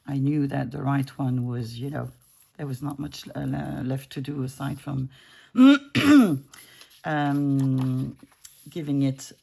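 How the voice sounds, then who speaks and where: A woman's voice: a bass voice, lively intonation, slow, vocal fry, mellow, husky. A woman in her fifties chatting casually at her home craft desk while she works, rambling and breaking off mid-thought. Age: 50s. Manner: rambling, self-interrupting, easygoing. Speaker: junk journaling crafter.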